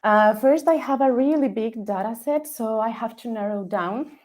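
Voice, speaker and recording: A woman from Ecuador, giving a student presentation over a video call in halting, English. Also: on the high side, a little mellow. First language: Spanish. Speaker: data analytics student presenting.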